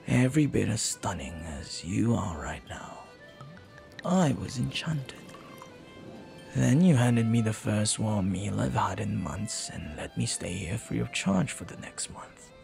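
A man playing a flirty, silver-tongued pirate in a romantic audio roleplay, tender and teasing, sometimes dropping to a breathy murmur. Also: lowish voice, darkish tone, heavily acted. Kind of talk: romantic audio roleplay acting, intimate second-person monologue.

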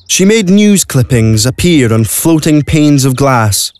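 A man narrates a story from a script. His voice sits at a medium pitch. It is recorded dry in a studio, with no room sound. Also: very animated, measured pace, darkish tone, no echo.

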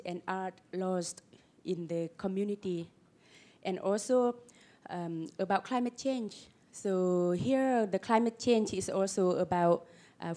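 A woman's voice: medium pitch, mellow. A woman from Laos, answering as a panelist in a hesitant way with many fillers. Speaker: panelist, community worker.

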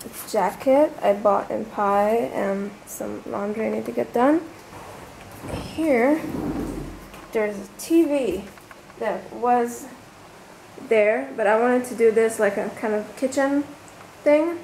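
Young woman chatting slowly, swearing casually. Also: on the high side, gravelly, a little mellow, echoey room, a little hiss or hum, poor audio. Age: 20s. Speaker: travel vlogger. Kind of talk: casual vlog with room tour. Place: small rented room in a residence. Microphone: handheld camera at arm's length.

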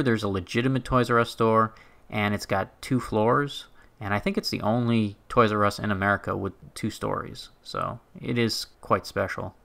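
Male vlogger, young, lowish bright voice, chatty and loose. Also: creaky. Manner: chatty, conversational, with fillers and restarts. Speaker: travel vlogger. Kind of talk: casual narrated walking tour.

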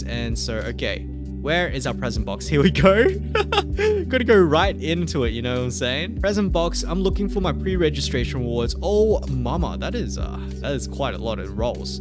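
A young man hosting a gaming channel, commentating live on a mobile game as he plays, excitable and jokey, thinking aloud with sudden high yelps. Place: small room at a desk. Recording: game music under the voice.

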